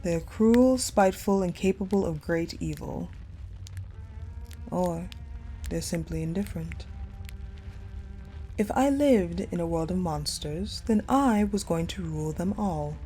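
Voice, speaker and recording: Actress as cold, possessive female general for intimate audio drama: dark mellow tone, unhurried, deliberate, hushed delivery. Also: on the low side, animated. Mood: cold, resolute. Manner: slow, hushed, deliberate storytelling with pauses. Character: cold, possessive ice general confiding in her captive beloved. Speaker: voice actor in audio roleplay. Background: music.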